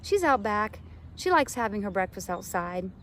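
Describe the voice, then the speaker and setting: A woman voicing a gentle, worried mother of young girls in a storybook. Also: medium pitch, very animated, moderate pace, slight background noise. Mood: soothing.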